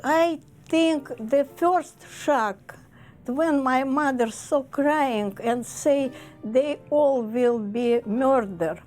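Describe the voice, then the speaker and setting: A woman in her eighties from Russia speaks haltingly in non-native English grammar. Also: sits high, a little mellow, faint music behind. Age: 80s. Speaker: Holocaust survivor, interviewee. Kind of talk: testimony interview.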